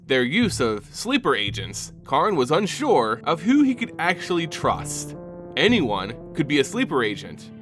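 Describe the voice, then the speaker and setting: A man narrating a scripted fantasy story dramatically, with theatrical, deliberate phrasing. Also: fairly high pitch, a bit bright. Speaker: channel host and narrator.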